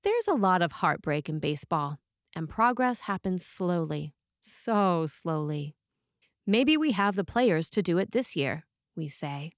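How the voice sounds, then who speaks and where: Slow-paced woman reading a sermon. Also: lowish voice, expressive, no room sound, clean studio sound. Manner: measured, deliberate phrasing with dramatic pauses. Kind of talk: scripted sermon reading, storytelling.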